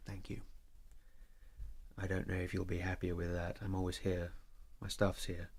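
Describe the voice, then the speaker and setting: A man playing a guarded, solitary lover in an audio drama, speaking in a measured, reserved, matter-of-fact way. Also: low voice, completely flat delivery, husky. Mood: subdued, resigned. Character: guarded, solitary man, ambivalent about love.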